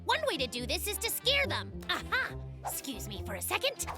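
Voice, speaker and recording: A woman's voice: very high voice, wildly swooping pitch, fairly quick, heavily acted. A woman voicing a boastful, hyperactive young male fox in a children's cartoon, showy and bossy. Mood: self-assured. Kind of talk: animated children's cartoon acting. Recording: loud music under the voice.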